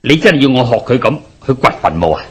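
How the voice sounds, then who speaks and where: A man's voice: mid-range voice, sing-song, fast talker, slightly rough. A man playing a hard-up, placating young debtor in a radio drama, speaking apologetically in Hong Kong Cantonese. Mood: reluctant. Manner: apologetic, eager to agree.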